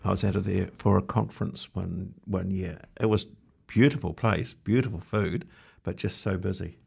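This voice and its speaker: A man's voice: low-pitched, moderate pace, creaky, darkish tone, slightly rough. A man hosting a show and asking his guest questions in a casual, conversational way. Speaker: radio or podcast interviewer.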